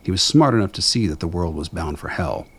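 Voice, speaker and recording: A man with a gravelly voice reading scripted dramatic narration in a measured, brooding storytelling manner. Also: low-pitched, expressive.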